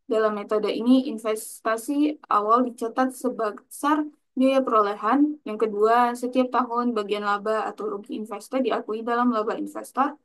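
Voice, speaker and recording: A woman's voice: fairly high pitch, fairly even intonation, normal speed. A young woman giving a scripted explanatory presentation as a student, in a formal, recited manner. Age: young adult. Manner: formal, recited from prepared text.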